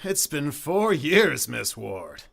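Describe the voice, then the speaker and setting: A blustering, sceptical gentleman in a radio play. His voice is on the high side and leaps around in pitch, scornful, blunt and impatient. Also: big pitch swings, slow, gravelly, exaggerated voice.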